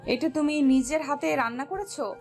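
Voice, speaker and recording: Woman acting high-voiced, teasing young woman. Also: moderate pace, a little mellow. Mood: delighted. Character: teasing, playful young woman, older girl friend. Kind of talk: romantic audio story dialogue. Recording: music bed behind voice.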